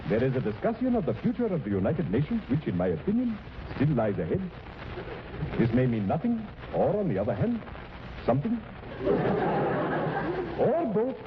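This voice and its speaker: An actor playing a pompous, overdramatic news commentator with theatrical, halting delivery in 1940s radio comedy. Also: male voice, fairly high pitch, wildly swooping pitch, a loud crowd. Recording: noisy, dull 1940s radio broadcast.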